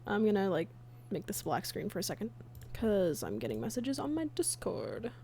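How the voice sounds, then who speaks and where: A woman chatting casually on a livestream as she works, halting and half talking to herself in an absent-minded way. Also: mid-range voice, sing-song, mellow, slight background noise. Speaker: livestreamer and comic dub creator. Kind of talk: casual livestream chat while working. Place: indoors at a computer, streaming.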